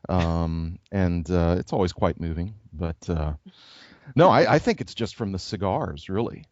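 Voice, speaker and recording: Male pastor co-host, low voice, halting and filler-heavy, dry lo-fi podcast sound. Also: lively intonation, no room sound. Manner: halting, many fillers and restarts, laughs easily. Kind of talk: casual podcast chat. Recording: dull lo-fi podcast audio, dry.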